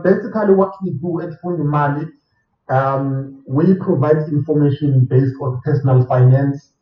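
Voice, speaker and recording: Man, finance advisor guest, measured and explanatory, filler ums, slightly high voice, dull roomy distant recording. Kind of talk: explanatory interview answers.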